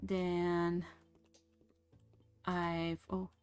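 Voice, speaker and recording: A woman's voice: lowish voice, completely flat delivery, a little mellow, clean tone, faint music behind. A young woman whose first language is French, chatting casually and rambling with fillers while she streams a game. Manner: slow, rambling, many fillers and restarts.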